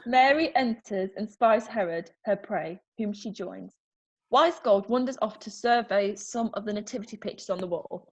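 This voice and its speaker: A woman's voice: mid-range voice, moderate pace, lo-fi sound. A woman reading stage directions aloud in a plain, matter-of-fact way.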